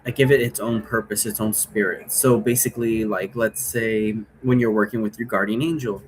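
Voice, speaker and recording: A man's voice: lowish voice, fairly even intonation, moderate pace, a little hiss or hum, poor audio. A man casually explaining his role-play game in a rambling way, often checking for agreement with tag questions. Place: indoor room.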